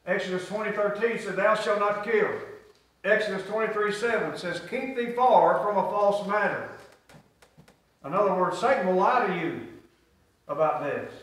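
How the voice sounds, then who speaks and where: A man's voice: sits high, normal speed, a bit bright. A middle-aged man, preaching a sermon and drawing answers from the congregation. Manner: preaching with call-and-response. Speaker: pastor. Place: small church sanctuary. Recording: echoey church from far off.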